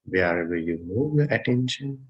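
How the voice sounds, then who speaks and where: A man leading a guided meditation as a teacher, speaking gently and unhurriedly in short phrases with long pauses. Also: on the low side, animated. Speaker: Buddhist monk, meditation teacher. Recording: dull, muffled lo-fi sound.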